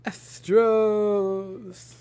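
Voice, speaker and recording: A young man with a hoarse, worn-out voice, rambling giddily to his livestream chat from home. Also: high voice, flat delivery, very slow, dark tone. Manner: giddy, rambling, talking to chat. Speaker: streamer.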